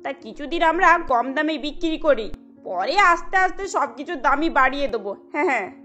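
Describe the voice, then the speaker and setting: A woman as a practical young wife in a cartoon, high and persuasive. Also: expressive, moderate pace, sharp tone, soft background music. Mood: reasoning. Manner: reasoning, persuasive. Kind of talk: cartoon voice acting.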